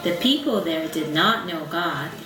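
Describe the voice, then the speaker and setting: Female narrator for a children's puppet show, very lively intonation, simple phrasing, music underneath. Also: sits low, measured pace, distant mic. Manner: simple, expressive, child-directed asides. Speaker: storyteller, narrator. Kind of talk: Bible storytelling for a puppet show. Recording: roomy sound with music behind.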